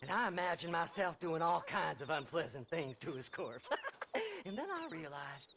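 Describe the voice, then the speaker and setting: An actor playing a crazed, obsessive Old West treasure hunter in a video game, rambling and unhinged in an American accent. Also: male voice, on the high side, very animated, normal speed, background noise. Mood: manic, rambling. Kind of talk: video game western acting.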